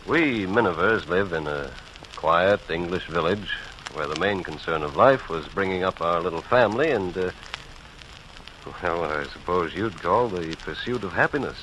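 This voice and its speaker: A modest English village father in a 1940s radio monologue. The voice is low and earnest, with a bright, forceful edge. The old recording carries faint noise. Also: low-quality recording.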